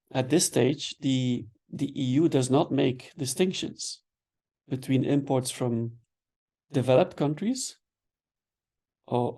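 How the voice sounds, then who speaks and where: A man giving an online lecture, explaining patiently and checking in with "right?" as he goes. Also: medium pitch. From Belgium. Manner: relaxed, explanatory, frequent "right?" tags and repeated words. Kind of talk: online webinar lecture answering questions.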